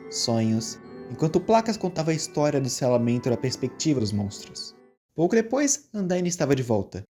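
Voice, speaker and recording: A narrator reading a scripted story in a storytelling style. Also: medium pitch. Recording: music behind the voice.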